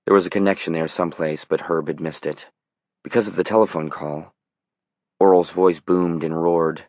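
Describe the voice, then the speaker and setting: A deep-voiced man narrates dramatic fiction in audiobook style. The recording is very dry and slightly muffled. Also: steady tone, normal speed, no room sound.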